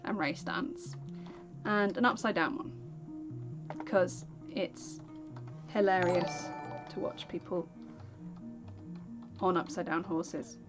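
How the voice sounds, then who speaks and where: Unhurried woman streaming a game. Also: on the low side. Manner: chatty, rambling, thanks viewers by name. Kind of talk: casual live-stream chat. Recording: game music playing underneath.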